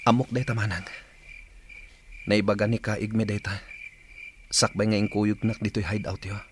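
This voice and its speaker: A man's voice: fairly low pitch, flat delivery, moderate pace, close to an everyday voice. A man with an Ilocano accent acting an earnest young man bent on justice for his father in a radio drama, with noise behind him. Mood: determined.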